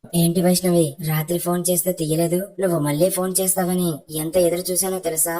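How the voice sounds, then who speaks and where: A woman voicing a calm, studious young man in love, in a cartoon story. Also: lowish voice, quick pace, lightly acted. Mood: earnest.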